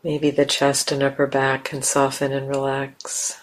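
A woman leading a guided meditation as a teacher, speaking gently in simple, unhurried phrases with long pauses. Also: a bass voice, monotone, a little hiss or hum, poor audio.

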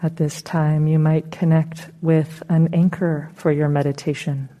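A woman meditation teacher leading a guided meditation in a soothing, unhurried, gently instructing manner. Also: low-pitched, flat delivery, lo-fi sound.

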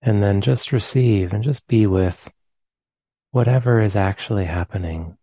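Slow, deep-voiced American man guiding meditation. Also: slightly rough, dry recording, highs cut off. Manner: slow, soft, pausing phrases. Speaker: meditation teacher. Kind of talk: guided meditation.

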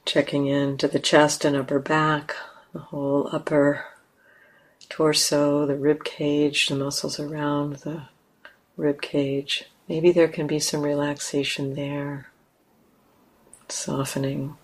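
A woman teaching a guided meditation in a gentle, unhurried way with long pauses. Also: deep voice, level tone.

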